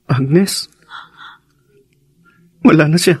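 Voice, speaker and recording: An actor with a Filipino accent playing an earnest, worried young husband in a radio drama. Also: male voice, fairly high pitch, extremely slow, a little mellow, breathy edge, no echo, heavily acted. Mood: sorrowful, worried.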